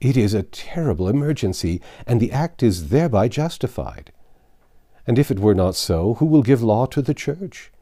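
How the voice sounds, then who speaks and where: A man's voice: mid-range voice, expressive, moderate pace, warm tone. A man reading a storybook, voicing an anxious, insistent old medieval abbot who pleads in solemn, archaic speech.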